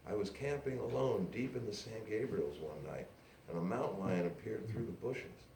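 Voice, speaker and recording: A man voicing a brash, excitable woman publisher with an emphatic, insistent manner in a literary reading. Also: on the low side, moderate pace, voice pushed up, close to an everyday voice. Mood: awed, excited. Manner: emphatic, breathless insistence, calls people dearie. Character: brash, excitable woman small-press publisher, proud and gushing. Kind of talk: dialogue in a live literary reading. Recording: roomy, distant live recording with noise.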